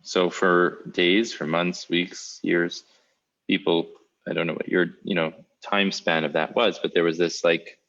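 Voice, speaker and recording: A very deep-voiced man hosts an interview podcast. He asks long, rambling, reflective questions. The sound is dull and lo-fi. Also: little pitch movement, measured pace, a bit bright. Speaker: podcast host. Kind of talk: casual interview talk.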